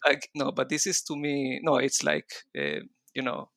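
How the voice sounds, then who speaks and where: A man's voice: medium pitch, very animated, moderate pace, fairly bright tone, dry recording. A middle-aged man with a mixed Israeli and Chilean Spanish accent, a guest talking casually and hesitantly with many restarts and fillers. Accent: Israeli and Chilean Spanish mix. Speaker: guest designer. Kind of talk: casual interview conversation.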